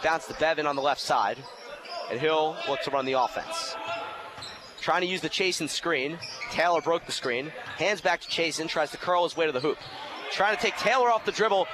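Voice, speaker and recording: A young man giving live basketball play-by-play, excitable and raising his voice on big plays. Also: on the high side, normal speed. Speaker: play-by-play announcer and sports show host. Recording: noisy gym ambience, bouncing ball. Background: basketball game in an indoor gym.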